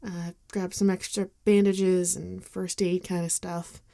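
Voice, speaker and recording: Caring female vampire friend, played by a woman, ASMR roleplay: mellow, hesitant, very close and dry sound. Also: on the low side, flat delivery, vocal fry, no room sound. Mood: caring, reassuring. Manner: hesitant, gentle, halting with soft sighs. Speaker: ASMR voice actor. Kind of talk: ASMR roleplay acting, intimate and conversational.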